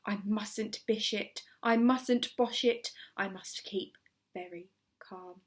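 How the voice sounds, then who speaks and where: A shy storybook gentleman, as a woman reader plays him. He is earnest, nervous and lovestruck, full of careful hope. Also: medium pitch, slow pace, close to an everyday voice. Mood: nervous whisper. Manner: earnest, hushed when nervous.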